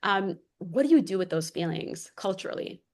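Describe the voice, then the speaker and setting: American woman, expressive and low-pitched. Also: moderate pace. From New York, United States. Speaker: interview guest. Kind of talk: heartfelt casual conversation.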